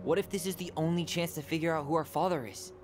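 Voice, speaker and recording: A man's voice: a little high, steady tone, quick pace, lightly acted. Young American male actor, driven game character, earnest and pressing, naturalistic delivery, prominent music bed. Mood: urgent. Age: young adult. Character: earnest, driven young man pleading with his sister. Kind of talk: video game cutscene acting. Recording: game dialogue under loud music.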